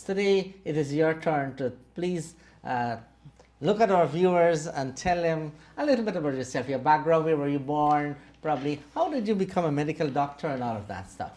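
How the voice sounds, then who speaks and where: A man from Guyana hosting a studio interview, pausing often with fillers as he asks his guests to introduce themselves. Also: on the high side, darkish tone. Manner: hesitant, many uh fillers. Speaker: interview host.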